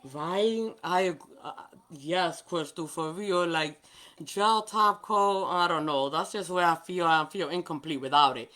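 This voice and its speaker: A woman's voice: fairly low pitch, unhurried, a bit bright. Woman, New York accent with Spanish influence, native Spanish speaker, chatty livestream nail host, laughs often. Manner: chatty, talks to viewers by name, laughs often. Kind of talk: casual live chat while demonstrating.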